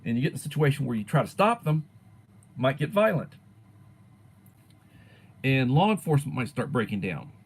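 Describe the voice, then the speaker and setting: A man's voice: mid-range voice, very animated, normal speed, pauses often, slightly rough. Older man chatting on a livestream. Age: 60s. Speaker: livestream host and teacher. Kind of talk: casual livestream chat reading viewer comments.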